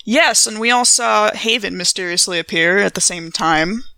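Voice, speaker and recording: A woman's voice: mid-range voice, moderate pace, gravelly, bright, forceful tone, no echo. A woman playing a tabletop role-playing game, chatting casually and thinking aloud in a playful way. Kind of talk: casual improvised role-play and table chat.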